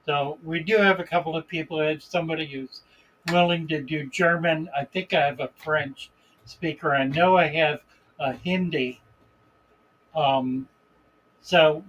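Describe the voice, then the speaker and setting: A man hosting a live stream in a relaxed, unhurried way, greeting viewers by name and reading their comments aloud. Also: a little high, lo-fi sound, a little hiss or hum. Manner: relaxed, unhurried, chuckles between remarks. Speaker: livestream host.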